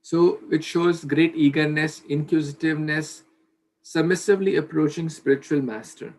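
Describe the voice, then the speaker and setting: A man's voice: fairly high pitch, moderate pace, low-quality recording. A man in his thirties leading a scripture study over a video call from a small bedroom, talking earnestly with fillers and restarts. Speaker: scripture class leader. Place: small bedroom at home, indoors. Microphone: laptop webcam on video call.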